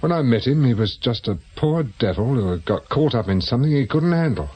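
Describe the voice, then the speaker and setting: A man's voice: medium pitch, expressive, brisk, dark tone, husky, rough recording. A British man acting in a radio drama as a world-weary, sardonic private investigator who used to be a policeman. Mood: reflective. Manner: dry, sardonic. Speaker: actor.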